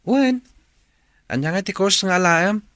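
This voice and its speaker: An actor playing a friendly, eager young student cousin in an Ilocano radio drama. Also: male voice, high-pitched, animated, unhurried, fairly bright tone, no room sound, studio quality. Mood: curious, friendly.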